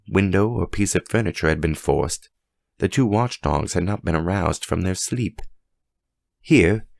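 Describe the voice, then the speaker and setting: A man narrating a horror story as a dramatic audiobook, with a measured, suspenseful, theatrical delivery. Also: low-pitched, creaky, no echo, studio quality.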